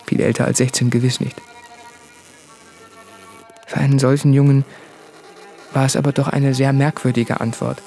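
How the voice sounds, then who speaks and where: An actor playing an anguished, self-doubting son in a radio play, delivering intimate literary monologue in an earnest, deliberate manner. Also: male voice, mid-range voice, level tone, warm tone, faint music behind, close to an everyday voice. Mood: reproachful.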